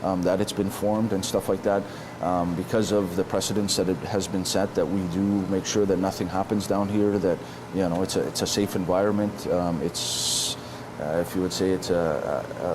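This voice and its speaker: A man, an inmate chairing a lifers group, answering interview questions haltingly with many pauses and restarts. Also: low-pitched, fairly even intonation, background noise.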